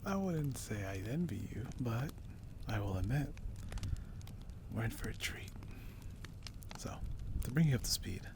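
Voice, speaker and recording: A man playing a seasoned, wry dungeon explorer in a dark fantasy ASMR roleplay, drawling theatrically and insinuatingly. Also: medium pitch, sing-song, a little slow, background noise. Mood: wry, sly. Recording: roomy echo.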